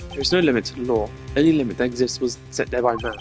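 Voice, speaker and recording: A man voicing a stern, principled prosecutor with a formal, measured delivery in a video game scene. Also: medium pitch, soft background music, natural voice. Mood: resolute.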